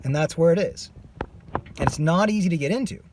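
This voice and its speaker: A man's voice: on the high side, fairly quick, darkish tone, slight background noise. A man teaching a singing lesson. He is losing his voice and stops his explanation to demonstrate screams. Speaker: vocal coach.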